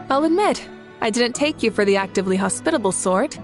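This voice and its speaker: A woman's voice: a little high, expressive, moderate pace, faint music behind, lightly acted. An actress playing a poised young woman in a video game, wry and composed with a teasing edge. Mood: wry amusement.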